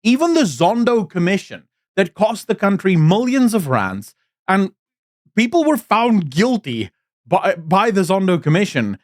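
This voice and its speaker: A man's voice: sits high, very animated, unhurried, no room sound, clean studio sound. A South African man giving blunt, opinionated commentary on politics straight to his viewers. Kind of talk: casual commentary to camera. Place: Cape Town.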